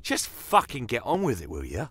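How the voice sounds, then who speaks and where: A man's voice: medium pitch, huge pitch swings, normal speed, fairly bright tone, breathy edge, no echo, pitched above the performer's own voice, heavily acted. A man voicing a spiteful, foul-mouthed human prisoner of war in a fantasy audio drama, curt and spitting insults. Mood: bitter, exasperated.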